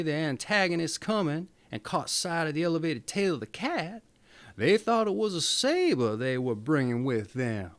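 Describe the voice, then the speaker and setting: Animated male storyteller with swooping pitch. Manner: theatrical. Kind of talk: expressive storybook reading.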